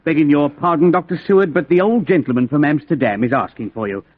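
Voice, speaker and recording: A rather high-voiced, deferential servant of an asylum, played by a man, anxious and urgent in manner. Old radio-play acting, heard through a thin, narrow band. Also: quick pace, a little hiss or hum, lightly acted.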